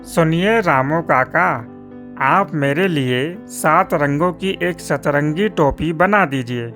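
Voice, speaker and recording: A poor, crafty barber in a cartoon tale. He talks slowly and politely in an earnest manner, over light plucked-string music. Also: fairly high pitch, fairly bright tone. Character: wily, polite poor village barber man. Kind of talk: cartoon story dialogue.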